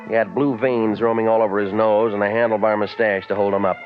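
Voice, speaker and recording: A man acts out a cynical private eye in a vintage radio play. He speaks low, in dry, wisecracking, clipped phrases. The recording is dull, with music clearly behind it. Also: fast, lightly acted. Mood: wry, narrating. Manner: deadpan, terse, sardonic. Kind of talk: 1940s radio drama, first-person narration and dialogue.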